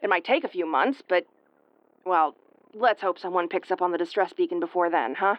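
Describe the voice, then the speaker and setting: A woman's voice: fairly low pitch, a little fast, dry recording, muffled recording, natural voice. A woman playing a brash, easily flustered starship commander with a tomboyish, brusque, sweary delivery in an audio roleplay. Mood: relieved, hopeful. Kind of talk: audio roleplay acting, spoken to the listener.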